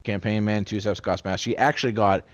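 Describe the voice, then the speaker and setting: A young man with a very low voice hosts a casual podcast chat. He talks in a loose, rambling way and swears freely. The sound is dry and close. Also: steady tone, a little fast, dry recording, muffled recording. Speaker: podcast host.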